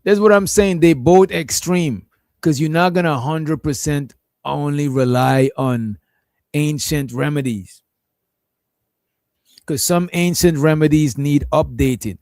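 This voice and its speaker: A man's voice: a little high, a little slow, a little mellow, dry recording. A man hosting a casual stream, reacting to a clip in a laid-back, profane, rambling way.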